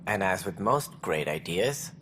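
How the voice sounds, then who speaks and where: An actor playing an officious alien station director in a video game, speaking precisely and formally with a wry, earnest streak. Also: male voice, deep voice, animated, a little slow, a bit bright, slight background noise. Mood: earnest, stately. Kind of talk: video game dialogue acting.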